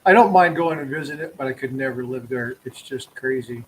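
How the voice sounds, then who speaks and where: A man's voice: mid-range voice, a little fast, slight background noise, poor audio. Texan man chatting casually on stream. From Texas. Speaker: coin roll hunting streamer. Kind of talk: casual live stream chat.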